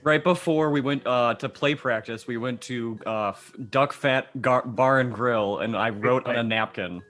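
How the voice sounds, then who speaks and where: A man's voice: mid-range voice, a little slow, fairly bright tone, faint music behind. A young man telling stories casually as a guest on a podcast. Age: young adult. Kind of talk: casual storytelling.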